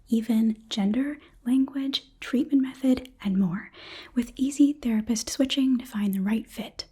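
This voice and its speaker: A woman speaks in a breathy, almost entirely unvoiced whisper. Her tone is soft and mellow, delivering an ASMR sponsor read with deliberate, reassuring care. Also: a little high. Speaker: ASMR creator and host.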